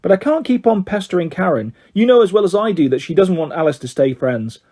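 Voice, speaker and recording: A man voicing an affectionate, wry mother, mildly exasperated and gently scolding, in a children's storybook reading. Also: high voice, expressive, a little fast, pitched above the performer's own voice. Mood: fond scolding.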